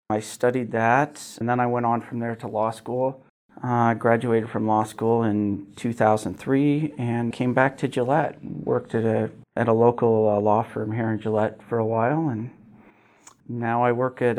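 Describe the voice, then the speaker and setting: A man's voice: medium pitch, darkish tone. A man in his forties from Wyoming, answering interview questions as a city council member in an earnest, modest, conversational way. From Wyoming, United States. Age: 40s.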